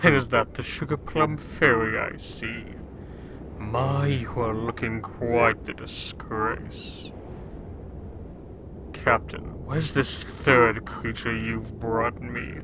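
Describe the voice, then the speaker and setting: Man playing a slow, mocking villain ice king. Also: mid-range voice, steady tone, noisy background, close to an everyday voice. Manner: drawling, theatrical, mocking. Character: smug, menacing, mocking villain Frost King. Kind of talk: audio drama villain acting.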